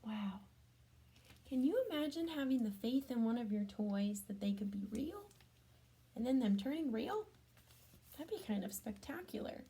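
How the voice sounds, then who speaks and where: A woman reading a storybook aloud to children, adding gentle chatty comments and questions for young listeners. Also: a little high, animated, moderate pace, pauses often, slightly rough. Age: adult.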